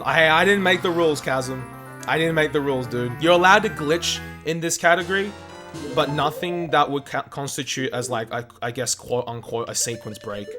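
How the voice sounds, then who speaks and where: A young Australian man giving chatty, casual livestream gaming commentary, calling viewers mate and dude. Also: medium pitch. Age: young adult. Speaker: video game speedrun streamer.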